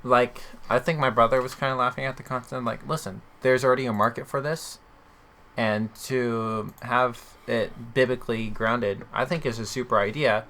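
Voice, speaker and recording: A man's voice: mid-range voice, steady tone, moderate pace, bright, forceful tone, a little hiss or hum. A man chatting casually and informally as a podcast host, reacting conversationally to his co-host. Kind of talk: casual chat.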